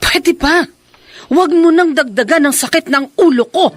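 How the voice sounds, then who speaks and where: A woman's voice: high voice, moderate pace, no echo, natural voice. An actress playing a dutiful, long-suffering middle-aged stepmother in a Filipino radio drama. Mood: weary, exasperated.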